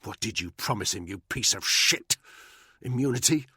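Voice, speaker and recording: A man's voice: on the low side, lively intonation, moderate pace, bright, forceful tone, husky, dry recording, clean studio sound, pitched above the performer's own voice, full character voice. A man voicing a hard, furious soldier who growls with contempt. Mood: menacing.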